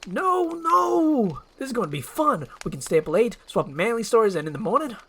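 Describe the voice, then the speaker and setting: A woman's voice: on the high side, big pitch swings, normal speed, a bit bright, breathy edge, voice pushed up, heavily acted. A woman voicing a talkative, needy male donkey, eager and rambling, in an amateur screenplay performance. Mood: excited. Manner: chatty, eager, rambling, wheedling.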